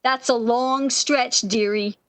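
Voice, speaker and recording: A woman acts out a brassy night switchboard operator from a 1930s radio play. Her voice is high-pitched and dryly recorded. She teases in slangy, worldly-wise fashion, with a motherly streak under the wisecracks. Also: fairly even intonation, slow, dry recording. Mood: skeptical, caring. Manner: slangy, teasing, worldly-wise, uses endearments.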